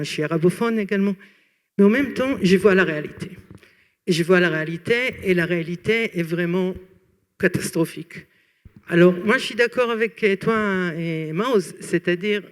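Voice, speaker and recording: A woman from France and Israel asking a long, earnest question from the audience at a public talk, often pausing to search for words. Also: on the low side, long reverb tail.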